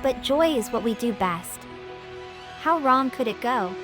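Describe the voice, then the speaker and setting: A woman voicing a small, eager, excitable young dragon in a fantasy audio drama. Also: a little high, unhurried, music in the background. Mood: cheerful. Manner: excitable, earnest.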